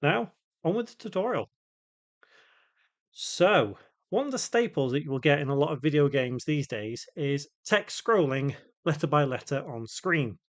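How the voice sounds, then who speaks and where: A man with a voice pitched a little higher than average presents a software tutorial. He talks in an explanatory way, walking through the steps one at a time and setting out technical details piece by piece. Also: expressive, normal speed. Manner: explanatory, spells out code piece by piece. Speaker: tutorial host. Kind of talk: step-by-step software tutorial narration.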